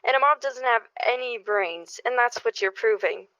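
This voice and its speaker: Woman acting an earnest, high-voiced suburban neighbor. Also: normal speed, sharp tone, no echo. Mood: pleading, reproachful. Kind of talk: radio play acting. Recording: dull lo-fi audio.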